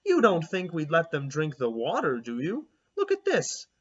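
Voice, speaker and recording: A blunt, homesick pilgrim woman, put on by a man in a high, swooping voice from a children's storybook. She talks in an old-fashioned, matter-of-fact way and sounds incredulous at modern ideas. The recording is a little dull and lo-fi. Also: wildly swooping pitch, normal speed, husky, exaggerated voice.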